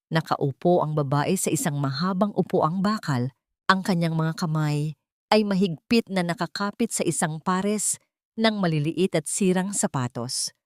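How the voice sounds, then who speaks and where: A woman's voice: fairly low pitch, a little mellow, no room sound, clean studio sound. A woman with a Filipino accent reading a dramatic scripted story as an audiobook narrator.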